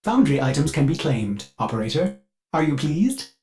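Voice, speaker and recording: An actor voicing a devoted ship computer AI in a video game, fussy, polite and eager with violent outbursts, through glitchy synthetic processing. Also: male voice, a little high, sing-song, moderate pace, a little mellow. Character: fussy, devoted ship computer AI, male, with flashes of bloodthirsty rage. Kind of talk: scripted video game character lines.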